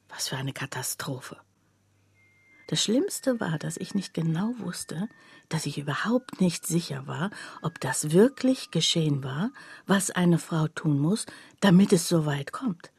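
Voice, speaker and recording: An actress playing a troubled factory worker, telling her story in a soft, husky, halting and confiding radio drama monologue. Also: on the low side, heavily acted. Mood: bewildered, dismayed. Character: troubled female factory worker recounting her life, bewildered, plainspoken.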